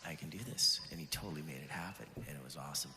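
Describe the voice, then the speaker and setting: A worship leader still recovering from a cold, chatting casually and warmly with his congregation, with fillers and bits of laughter. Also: a bass voice, racing, big-room sound. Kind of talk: casual talk to a church congregation. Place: church sanctuary.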